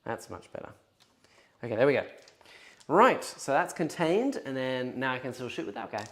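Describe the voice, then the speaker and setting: A man's voice: medium pitch, very animated, moderate pace, fairly bright tone. A man playing a tabletop wargame on stream, talking through his rules and moves in a casual, chatty way. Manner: chatty, explains rules and moves aloud while measuring. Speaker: wargaming battle report player.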